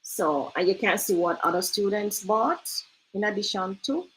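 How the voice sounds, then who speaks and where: A woman's voice: mid-range voice, lively intonation, normal speed, a little hiss or hum, low-quality recording. A Jamaican woman hosting a casual screen-share tutorial, chatty and loose, often asking "right?". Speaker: host.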